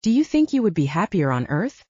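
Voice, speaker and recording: A woman's voice: lowish voice, big pitch swings, a little fast, darkish tone, slightly rough, no echo, highs cut off. A woman voicing a clinical, probing male alien doctor in an audiobook, with formal, clipped phrasing.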